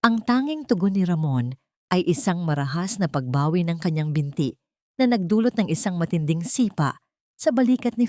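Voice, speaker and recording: A woman's voice: low-pitched, darkish tone, no room sound, clean studio sound. A woman narrator reading a scripted story in a dramatic style.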